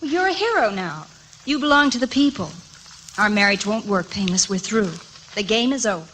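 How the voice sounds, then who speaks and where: An actress playing an earnest, noble frontier wife in a melodramatic old-time radio comedy style. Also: female voice, mid-range voice, lively intonation, a little fast, a bit bright, close to an everyday voice. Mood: regretful. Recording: noisy vintage radio recording.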